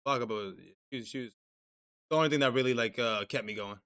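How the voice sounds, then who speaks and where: A man's voice: mid-range voice, fast, fairly bright tone, clear, resonant tone. A young man co-hosting a casual anime discussion stream, profane and loose, reading chat messages aloud and restarting his sentences. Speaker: stream co-host.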